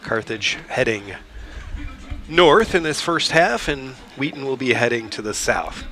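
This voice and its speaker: Hoarse man calling soccer outdoors. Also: medium pitch, unhurried. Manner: relaxed, steady, chatty, with small restarts and throat clearing. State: hoarse from a cold. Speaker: play-by-play commentator. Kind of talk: live sports commentary.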